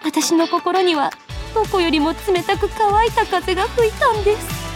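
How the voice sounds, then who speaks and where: A woman's voice: extremely high pitch, normal speed, music in the background. A woman playing an anxious, earnest young woman who sees three seconds ahead and narrates her own story in a radio drama. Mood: dramatic narrating. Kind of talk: radio drama acting with first-person narration.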